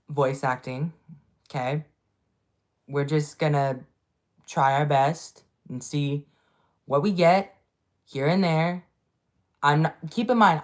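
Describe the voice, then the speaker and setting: Low-voiced woman chatting on a livestream. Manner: chatty and upbeat, repeats herself, greets viewers. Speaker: livestream host. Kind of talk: casual livestream chat.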